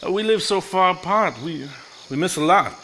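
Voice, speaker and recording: An actor playing a reassuring, earnest 1950s husband in a live stage play, speaking in a soothing, halting manner. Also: male voice, high voice, expressive, sharp tone, exaggerated voice. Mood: concerned, chatty. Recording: live stage recording with faint noise.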